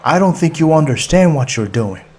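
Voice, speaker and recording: Male narrator as indignant middle-aged hostage, raised pitch. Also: expressive, moderate pace, dark tone, husky. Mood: arguing, pleading. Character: middle-aged father held hostage, indignant, argumentative, protective.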